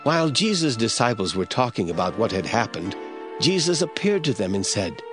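A man's voice: medium pitch, sing-song, normal speed, over music. A man narrating scripture as a scripted audio drama storyteller.

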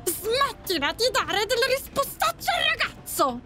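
Very high-voiced melodramatic ghost lady, played by a woman. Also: big pitch swings, measured pace, music in the background, heavily acted. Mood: scolding. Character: Marta, melodramatic ghost lady, scolding yet tender. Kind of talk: audio drama acting.

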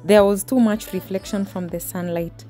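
A woman's voice: mid-range voice, normal speed, soft background music. A woman, casually narrating her home cleaning in a chatty voice-over. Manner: relaxed, chatty, small restarts. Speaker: homemaking vlogger.

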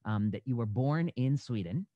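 A man's voice: medium pitch, expressive, normal speed, no room sound. A young man hosting a podcast interview over a video call from home, talking in a thoughtful way with many fillers and restarts. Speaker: podcast host. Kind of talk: conversational interview. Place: home room. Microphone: headset mic on a video call. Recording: dull, dry video call audio.

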